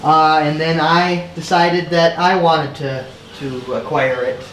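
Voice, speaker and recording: A man with a somewhat high voice answers casually as an interview guest. He is hesitant and often repeats a word before going on. The recording is echoey, with clear noise around him. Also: fairly even intonation, slow, a bit bright. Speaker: interview guest, car collector. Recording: echoey, clearly noisy location sound.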